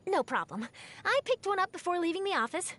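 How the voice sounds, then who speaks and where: A perky young female game reporter, very high voice, swooping intonation, theatrical, plucky, excitable. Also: fairly quick, exaggerated voice. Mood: chatty. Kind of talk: animated video game character voice lines.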